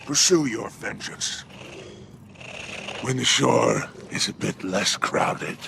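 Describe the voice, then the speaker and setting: Very gravelly, scheming alien crime lord. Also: male voice, lowish voice, big pitch swings, a little hiss or hum, heavily acted. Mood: sly, amused. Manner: wry, theatrical, deliberate. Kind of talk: dramatic video game character acting. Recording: loud music under the voice.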